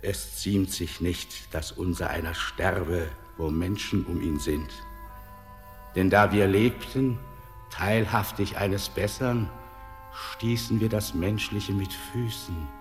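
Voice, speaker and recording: Ancient, very slow, deep-voiced miner. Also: male voice. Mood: solemn, fatalistic. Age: older. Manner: solemn, portentous, measured declamation. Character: ancient, world-weary, uncanny old miner welcoming death. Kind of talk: 1940s German radio play acting. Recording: long hall reverb, music behind, 1940s radio.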